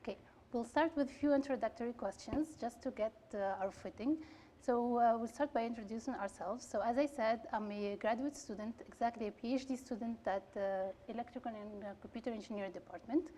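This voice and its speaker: Friendly young woman moderating, mellow voice. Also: a little high, fairly quick, a little hiss or hum. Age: young adult. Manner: friendly, hesitant with fillers. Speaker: panel moderator, PhD student. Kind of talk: moderating a panel.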